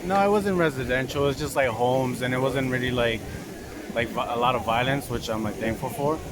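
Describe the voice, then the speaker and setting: A man's voice: medium pitch, brisk. Rambling young man on a noisy street. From Mexico. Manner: rambling, many "like" fillers. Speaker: interviewee. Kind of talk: casual street interview. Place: outdoors on a city street. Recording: street noise behind.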